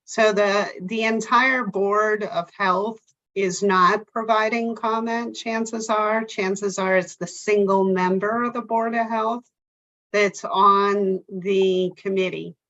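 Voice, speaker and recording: A woman on the town staff walks through the process in a slow, measured way, stopping now and then on fillers. She speaks over a remote video call, and the audio is dull and lo-fi. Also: medium pitch, a bit bright. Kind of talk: remote public meeting explanation.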